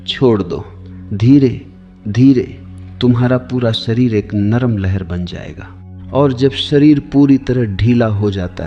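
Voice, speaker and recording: A man narrating a guided sleep meditation as spiritual discourse, giving soothing, deliberate instructions in short phrases with pauses. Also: mid-range voice, mellow. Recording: long reverb, slightly dull, music behind.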